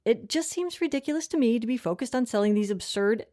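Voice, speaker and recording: Casting: actress for a no-nonsense sardonic woman guidance counselor, highish and expressive, audio comedy. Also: fast, lightly acted. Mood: exasperated. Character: stern, sardonic female high school guidance counselor.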